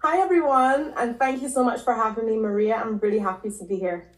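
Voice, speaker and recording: A woman from Barbados, a podcast guest and health coach, telling her story in a relaxed, casual way with frequent ums and likes. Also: on the high side, fairly quick. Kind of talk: casual interview talk. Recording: echoey room.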